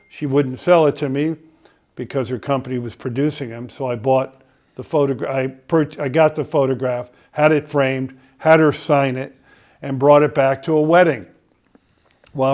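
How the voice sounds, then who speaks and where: A man's voice: medium pitch, a little mellow. An older man from Connecticut, a podcast guest telling a long story, with many filler sounds and restarts. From Connecticut, USA.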